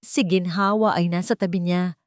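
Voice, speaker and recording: Female narrator for a melodramatic story, lower register, scripted read, very dry studio-like sound. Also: steady tone, moderate pace, no echo.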